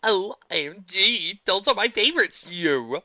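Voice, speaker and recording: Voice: mid-range voice, big pitch swings, moderate pace, sharp tone, no echo, voice pushed up. An amateur reader voicing a whiny, hyper young man who screeches, babbles and breaks into song. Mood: greedy. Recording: dull lo-fi dry recording.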